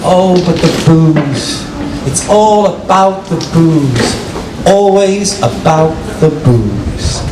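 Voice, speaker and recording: Man, performance poet, dramatic rhythmic delivery, distant echoey recording, clear street noise behind. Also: a little high, animated. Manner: theatrical, rhythmic, drawn-out phrasing. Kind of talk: dramatic live poetry recital with casual asides. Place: outdoor city square, poetry tent. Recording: distant, echoey, noisy outdoor live recording. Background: street ambience and passers-by noise.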